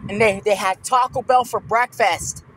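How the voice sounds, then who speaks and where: A young man chatting as a passenger in a moving car, repetitive and often coughing and clearing his throat. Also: high voice, steady tone, moderate pace, pushed and bright, low-quality recording. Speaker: passenger filming a drive. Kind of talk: casual chat. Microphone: handheld phone camera. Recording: car road noise behind.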